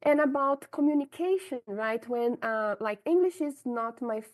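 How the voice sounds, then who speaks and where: A woman's voice: on the high side, expressive, moderate pace. A woman from Brazil with a Brazilian Portuguese accent, whose first language is Portuguese, answering interview questions about her scientific career frankly and often adding "right?" to her sentences. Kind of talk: candid conversational interview answers.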